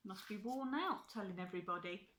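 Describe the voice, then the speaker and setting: A woman's voice: mid-range voice, lively intonation, fairly quick, background noise. An older woman, a grandmother reading a children's story aloud with chatty asides. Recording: echoey room.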